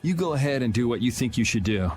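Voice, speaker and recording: An actor playing a self-assured young adventurer in a video game, with a dry, offhand, decisive delivery. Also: male voice, mid-range voice, expressive, fast, a little mellow, natural voice. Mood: cool, decisive. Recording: music under the voice.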